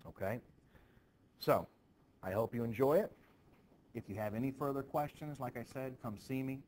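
A man teaching students about a play in an informal, animated storytelling manner. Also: medium pitch, normal speed. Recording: clearly noisy background.

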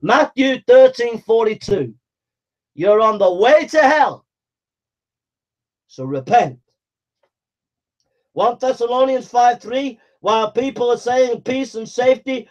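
A man's voice: very high voice, a little mellow, dull audio. A man preaching a fiery, confrontational sermon, scolding his listeners with urgent, repetitive calls to repent.

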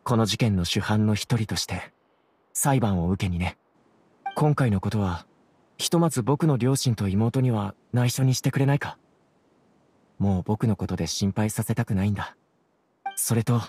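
An actor playing a gentle, self-doubting young man in an anime game, earnest, soft-spoken and reflective. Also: on the low side, moderate pace, pauses often, a little hiss or hum, close to an everyday voice. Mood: resigned. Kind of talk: anime video game voice acting.

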